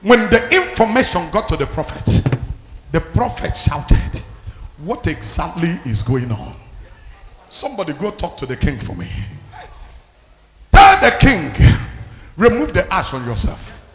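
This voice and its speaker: Man preaching loudly in an echoing hall. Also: high voice, huge pitch swings, unhurried, a little hiss or hum. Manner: shouting, commanding the congregation. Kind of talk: loud sermon.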